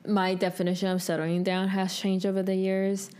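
A woman's voice: mid-range voice, monotone, normal speed, darkish tone, clear, resonant tone. A young adult woman co-hosting a podcast in casual chat, thinking aloud in informal speech peppered with "like". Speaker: podcast co-host.

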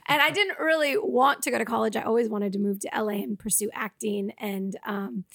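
A young woman from the Boston area with a raspy voice, chatting as a guest in a casual interview, talking loosely with many fillers and frequent laughs. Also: on the high side, steady tone, brisk, fairly bright tone, no echo, clean studio sound. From Boston area, United States.

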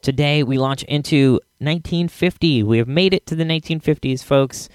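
A man hosting a podcast with a casual introduction, sometimes halting as he searches for words. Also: on the high side, no echo. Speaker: podcast host.

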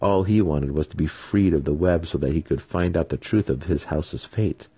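Deep, dark-voiced male storyteller. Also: moderate pace, husky, dry recording. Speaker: narrator, host of a book-reading series. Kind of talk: dramatic storybook narration.

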